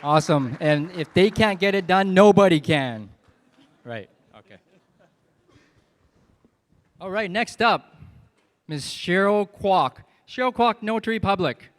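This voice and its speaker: A man's voice: high-pitched, lively intonation, unhurried, pauses often. A man emceeing a live event, upbeat as he introduces the next speaker. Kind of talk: stage introductions. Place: event hall with audience. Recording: hall reverb, faint crowd.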